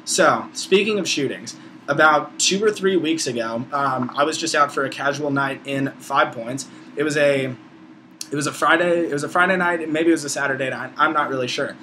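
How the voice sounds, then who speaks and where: Male, about 20, American accent, informal college vlogger, opinionated and profane, bright forceful voice, slightly noisy recording. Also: a little high, moderate pace. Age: around 20. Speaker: video blogger, college student. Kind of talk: casual opinionated vlog monologue.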